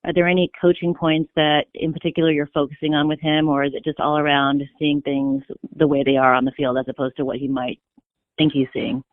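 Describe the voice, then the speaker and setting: A mid-pitched voice, dry and muffled by a narrow phone connection. The speaker puts questions as a sports reporter on a press call. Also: little pitch movement, a little fast, dry recording.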